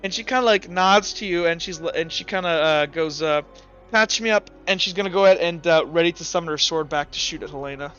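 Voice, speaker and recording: A man's voice: on the high side, fast talker, fairly bright tone. A man running a tabletop roleplaying game as game master, explaining rules and scenes in a casual, rambling way with restarts. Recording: dull lo-fi voice with music behind.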